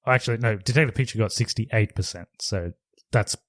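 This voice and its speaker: A man's voice: lowish voice, fast talker, fairly bright tone, dry recording, studio quality. A man co-hosting a podcast in casual chat, explaining things step by step with hesitant restarts. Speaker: podcast co-host.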